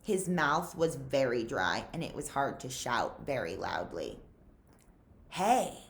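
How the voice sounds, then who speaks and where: Female storyteller, slow and deliberate pace, highly varied pitch, expressive read-aloud for children. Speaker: librarian reading aloud to children. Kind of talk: expressive read-aloud storytelling, pausing to ask listeners questions.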